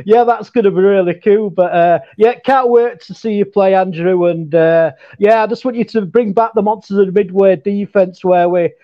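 A Yorkshire man with a fairly high voice talks in an eager, halting way, full of "uh"s and restarts. His sound comes through a muffled, lo-fi line. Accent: Yorkshire English. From England. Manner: nervous, earnest, halting with many fillers. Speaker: show contributor. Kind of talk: casual call-in chat.

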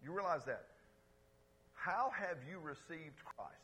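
A pastor preaching to his congregation in a lively, conversational way, calling out for responses and swinging between loud exclamations and quiet asides. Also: fairly high pitch, slow, background noise. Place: church service before a congregation.

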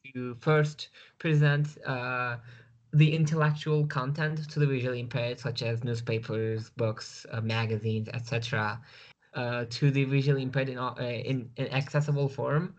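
A man's voice: mid-range voice, flat delivery, normal speed, dull audio. A man from Turkey with a Turkish accent, a guest speaking over a video call, hesitant and full of fillers.

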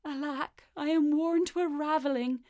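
A woman's voice: sits high, steady tone, moderate pace, dark tone, no room sound, clean studio sound, voice pushed up, close to an everyday voice. A worn-out old tailor frets in a weary, raised voice, as a woman reading a storybook puts him on. He sounds tired and anxious over his unfinished work. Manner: weary, fretful.

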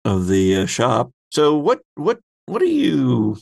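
A man narrating a tabletop roleplaying game as game master, often prompting the players with halting questions. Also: medium pitch, wildly swooping pitch, vocal fry, darkish tone, no room sound, studio quality.

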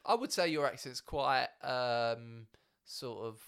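A man with a broad Essex accent talks loosely and at length, stacking up "you know" and "like" and dropping the odd swear word. His voice sits at an ordinary, mid pitch, neither deep nor high. He sounds like a comic settling into an easygoing podcast chat. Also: slow, a bit bright, clear, resonant tone. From Essex, England. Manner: rambling, swears, heavy filler words. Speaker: stand-up comedian, guest.

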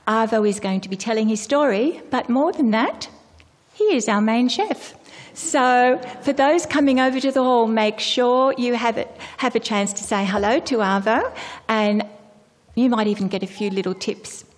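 A woman's voice: on the high side, moderate pace. Woman, parish organizer, informal welcoming intro, reverberant church hall. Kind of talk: friendly announcement.

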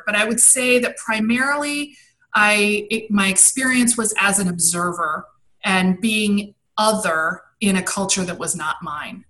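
Chatty woman with a bright voice. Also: medium pitch, measured pace, low-quality recording. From grew up abroad and in Maryland, US. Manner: chatty, thinks aloud with many ums. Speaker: guest, personal style coach. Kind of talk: casual interview answers. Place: home in Maryland.

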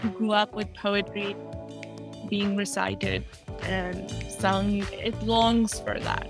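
A woman poet from Pakistan speaking in a slow, reflective way. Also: mid-range voice, little pitch movement, creaky, music in the background.

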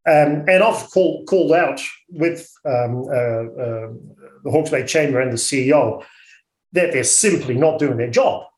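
A man with a Dutch accent speaking as an interview guest, blunt and outspoken, often halting and repeating words. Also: medium pitch, reverberant, low-quality recording. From Netherlands. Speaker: interview guest, orchard business owner. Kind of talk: radio interview.

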